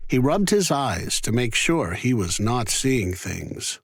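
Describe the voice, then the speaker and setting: A man tells a children's fairy tale at a slow, careful pace. His mid-pitched voice rises and falls expressively, and the recording is dry and close. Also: big pitch swings, slightly rough, dry recording. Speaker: storyteller.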